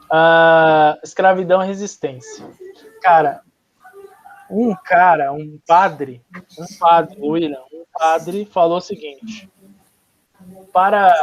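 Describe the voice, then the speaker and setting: Chatty Brazilian male teacher, lo-fi sound. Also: high-pitched. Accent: Brazilian Portuguese. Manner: chatty, informal, frequent fillers and restarts. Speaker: history teacher. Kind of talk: casual classroom lecture. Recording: dull, lo-fi.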